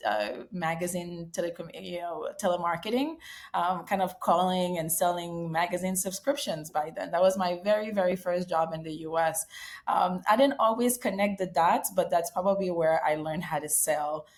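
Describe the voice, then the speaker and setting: A middle-aged woman from Haiti talks through her past as a podcast guest, pausing often with ums as she reflects. Her voice sits on the low side. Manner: reflective, many ums and restarts. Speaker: startup founder and CEO, guest. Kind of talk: casual interview conversation.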